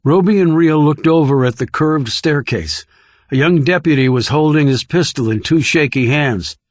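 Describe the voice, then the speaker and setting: A man's voice: on the high side, normal speed, no echo. A man reading scripted thriller narration as an audiobook narrator.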